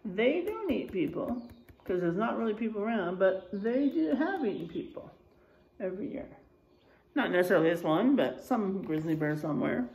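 A middle-aged woman, a kindergarten teacher reading a children's book aloud and pausing to explain things simply in a deliberate, expressive way. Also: mid-range voice, gravelly, poor audio. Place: indoors, in a room with drywall and posters.

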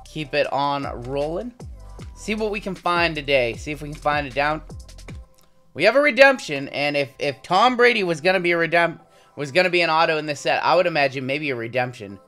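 Man in his thirties, raspy from a sore throat, raised pitch, casual chatty card-opening talk, faint music under him. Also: a little fast. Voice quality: slightly raspy. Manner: relaxed, chatty running commentary with frequent "uh"s. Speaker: card-breaking channel host. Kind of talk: casual pack-opening commentary. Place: indoor home studio with logo backdrop.

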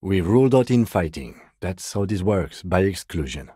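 A man playing a composed, by-the-book police detective in a video game, speaking in a measured, reserved, matter-of-fact way. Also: low-pitched, lively intonation, dark tone, husky, no echo, clean studio sound. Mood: composed, dry. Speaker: voice actor. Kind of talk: video game character dialogue.

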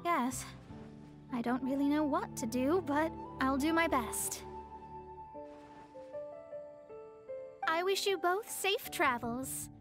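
A young female astrologer in a video game, proud and easily flustered. Her voice is high and a little haughty, with faint music behind it. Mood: earnest. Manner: haughty, halting when flustered.

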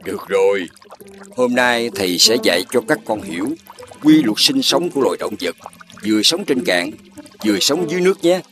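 A man voicing a patient, kindly loach schoolteacher in an animated fairy tale. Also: fairly low pitch, normal speed. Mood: patient, instructive. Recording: loud music under the voice.

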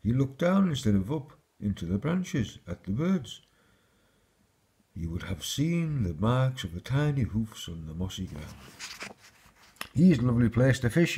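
A man with a husky voice, reading a children's fairy tale aloud as a storyteller. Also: lowish voice, very animated, normal speed, mellow.

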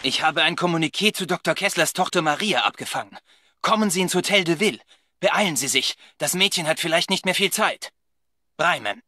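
Earnest wartime resistance contact for a game, fairly high and sharp, urgent briefings. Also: male voice, lively intonation, a little fast, no room sound. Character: brisk, serious male resistance informant. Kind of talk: video game voice acting.

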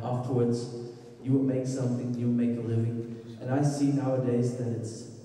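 A man in his thirties from Belgium talks to an audience in accented English, stumbling and restarting as he searches for words. His voice is dark and mellow. It rings through a large reverberant hall, picked up from a distance. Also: mid-range voice. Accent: Belgian Dutch. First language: Dutch. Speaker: musician giving a talk. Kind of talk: presentation talk on stage. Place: large dark auditorium stage. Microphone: handheld microphone. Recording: echoey hall, recorded from afar.

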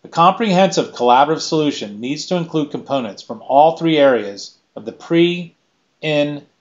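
A middle-aged man giving a scripted keynote presentation in a measured, list-by-list delivery, recorded on a webcam in a small room. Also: on the high side, lively intonation, lo-fi sound. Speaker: keynote speaker.

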